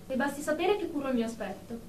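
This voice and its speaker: An echoey, slightly distant radio drama recording. A woman acts out a snooty, prim office lady with a fairly high voice, clipped and superior, quick to take offence. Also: expressive, darkish tone. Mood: indignant. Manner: superior, clipped, indignant, formal. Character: haughty, prim, easily offended female office professional in therapy. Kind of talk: Italian radio drama acting. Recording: echoey room, distant mic, background noise.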